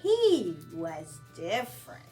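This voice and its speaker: A woman's voice: fairly low pitch, wildly swooping pitch, takes it slowly, dark tone, soft background music. A woman reading aloud at a children's storytime as a librarian, in a sing-song manner with simple questions to young listeners.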